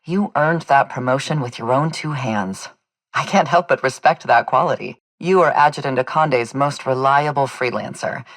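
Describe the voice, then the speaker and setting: A woman's voice: low voice, dry recording. A woman playing a weary, dutiful constable in a video game, speaking with formal, deferential courtesy. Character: weary, dutiful female colonial constable, polite and anxious. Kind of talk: video game dialogue acting.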